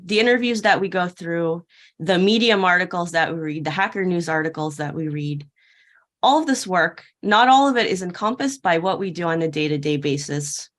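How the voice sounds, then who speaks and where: A woman with a slightly low voice gives a prepared conference talk. She presents it like a fairytale, with playful storytelling and rhetorical questions that she answers herself. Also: fairly bright tone. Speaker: keynote speaker at a tech conference.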